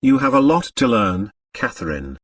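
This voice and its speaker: A man voicing a wry, detached young upper-class woman with a slow drawl in an audiobook. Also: medium pitch, very animated, no room sound, clean studio sound, far from the mic.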